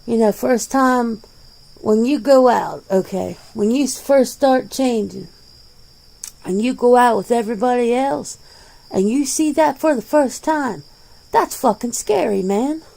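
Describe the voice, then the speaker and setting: A plain-spoken, world-weary werewolf played by a woman in an ASMR roleplay interview. The voice is soft-edged and dark with heavy fry, and it moves slowly. The delivery is casual, blunt and defensive. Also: on the high side, low-quality recording. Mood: candid, animated. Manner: blunt, casual, swearing, conversational.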